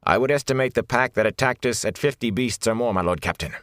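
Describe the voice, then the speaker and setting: A man voicing a gaunt, rigid soldier in an audiobook, with a hard, grating voice and a flat, clipped military delivery. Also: mid-range voice, fast, no room sound, studio quality, pitched above the performer's own voice, natural voice. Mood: stiff, emotionless. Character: gaunt, rigid, hard-bitten soldier reporting to his captain.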